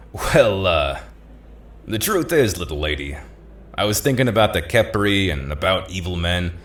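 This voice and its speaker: A man's voice: deep voice, very animated, measured pace, long pauses, fairly bright tone, exaggerated voice. An actor with an American accent playing a world-weary thief with a conscience in an audio play, talking in a folksy, tough-guy way. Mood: reflective, casual. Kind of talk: audio drama acting.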